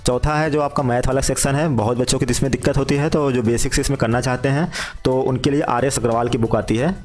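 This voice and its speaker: A man's voice: medium pitch, steady tone, fast, darkish tone. A man giving an informal instructional explainer, repeating key points for emphasis as he goes. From India. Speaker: job exam guidance presenter. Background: background music.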